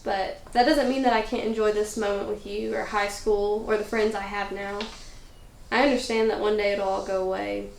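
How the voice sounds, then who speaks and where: A woman reading the part of a reflective, hopeful high school cheerleader in a table read. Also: fairly even intonation, normal speed, creaky, darkish tone, natural voice. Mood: earnest, reassuring. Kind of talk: cold table read of a film script. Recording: roomy echo with faint noise.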